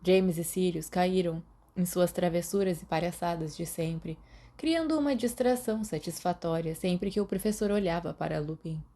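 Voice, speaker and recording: A woman with a Brazilian accent, calmly reading a fan fiction audiobook aloud. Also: lowish voice, moderate pace. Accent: Brazilian Portuguese. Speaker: podfic reader.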